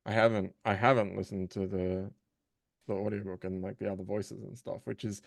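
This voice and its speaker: Creaky-voiced man chatting casually. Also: fairly low pitch, little pitch movement, a little fast. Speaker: audiobook voice actor, guest. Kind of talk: casual chat.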